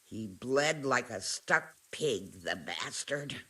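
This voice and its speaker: A woman's voice: a bass voice, expressive, slow pace, pushed and bright, husky, full character voice. An earthy medieval peasant wife from a video game. She speaks emphatically, with bitterness and gratitude close together, as someone worn by hardship. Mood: bitter, distressed.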